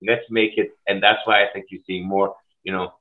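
A man's voice: sits low, level tone. A middle-aged man from Switzerland, a school food services director, speaking passionately and emphatically in an interview over a video call. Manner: passionate, emphatic, rapid bursts. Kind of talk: conversational interview. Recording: tinny phone-like line.

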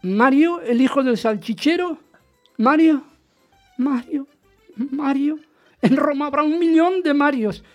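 A man's voice: very high-pitched, slow, long pauses, soft background music. An actor playing a jealous, agitated husband in a radio play, insistent and dramatic as he narrates and questions. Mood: agitated, demanding. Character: jealous, agitated husband recounting his hunt for a rumour. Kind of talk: radio theatre narration and dialogue.

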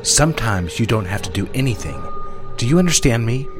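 An actor playing a controlling, menacing husband in an audio drama, questioning and giving clipped, domineering orders. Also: male voice, mid-range voice, big pitch swings, fairly quick, lightly acted. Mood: cold controlling. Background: music bed.

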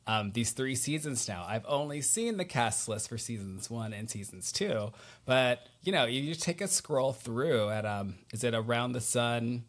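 A man's voice: medium pitch, expressive. A man hosting a podcast in casual interview chat, enthusiastic and informal. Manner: enthusiastic, informal, quick to laugh. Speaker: podcast host.

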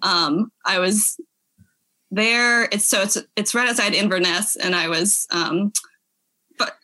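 Woman on a podcast, bright crisp-edged voice, chatty, kinda giggly, reads stuff out amused. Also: medium pitch, takes it slowly. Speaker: podcast guest. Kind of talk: casual chat with dramatic readings of online reviews.

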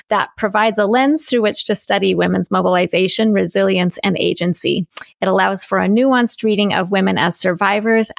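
A woman's voice: mid-range voice, vocal fry, no echo. A woman hosting an interview, introducing her guest in an enthusiastic, friendly way. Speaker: podcast host.

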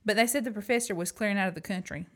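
A woman's voice: mid-range voice, very fast, natural voice. A woman acting a plucky, frank young woman in an amateur radio play. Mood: calm, matter-of-fact. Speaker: student actor.

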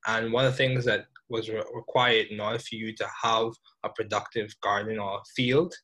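A man from the Bahamas hosting an interview in a conversational, deliberate way, rephrasing his points and questions as he goes. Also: on the low side, flat delivery, bright, forceful tone. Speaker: podcast host, interviewer.

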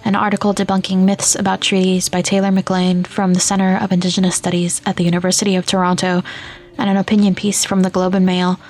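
A woman reading scripted podcast announcements in a measured, clearly enunciated way. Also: medium pitch, little pitch movement, a little mellow. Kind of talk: scripted narration.

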